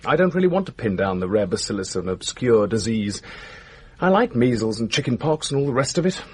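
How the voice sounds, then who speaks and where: An actor playing a modest, good-natured English village doctor in a radio drama, self-deprecating and gently hesitant. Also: male voice, medium pitch, big pitch swings, brisk, breathy edge. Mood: wry.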